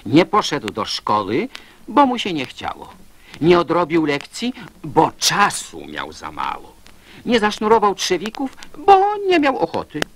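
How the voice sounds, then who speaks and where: A man recites a children's poem as its narrator. His voice sits fairly high and moves at a slow pace, rising and falling in an expressive, lively way. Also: a little hiss or hum.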